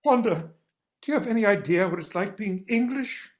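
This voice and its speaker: A man's voice: sits high, expressive, moderate pace, lo-fi sound. A man playing a stifled, lovestruck English attorney in a comic stage reading, fussy, earnest and self-deprecating. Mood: exasperated, yearning. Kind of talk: comic stage readers theater acting.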